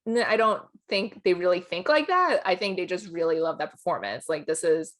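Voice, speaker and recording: Female podcast co-host, slightly low voice, informal awards-commentary chat. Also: animated, fairly quick, a bit bright. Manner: laughs often while talking. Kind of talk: casual film awards chat.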